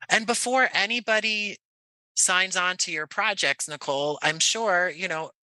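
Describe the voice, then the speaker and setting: An American man talking conversationally as an interview guest, choosing his words in an articulate, measured way. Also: high-pitched, sharp tone, smooth, no echo, rough recording. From Jersey City, United States. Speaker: podcast guest, audio play creator.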